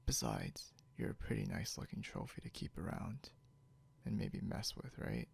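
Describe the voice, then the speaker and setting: A man playing a grumpy, teasing, possessive young beast-eared hunter in an intimate ASMR roleplay, drawling drowsily and half whispering with a breathy, gravelly voice. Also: sits low, huge pitch swings, moderate pace, full character voice. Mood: grudging, teasing.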